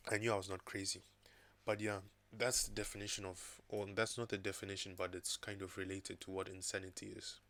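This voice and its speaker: A man vlogging casually from his desk at home, raspy from recovering from an illness, thinking aloud in a loose, rambling way. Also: deep voice, flat delivery, a little fast, a bit bright, slight background noise. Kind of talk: casual talking-head vlog. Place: home desk with laptop and second screen.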